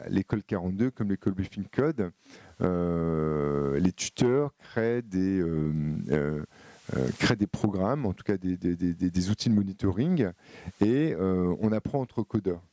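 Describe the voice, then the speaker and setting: A man's voice: sits low, expressive, moderate pace, warm tone, no room sound. A man with a French accent giving a live talk to an audience, hesitant and full of fillers and repeated words. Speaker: conference speaker.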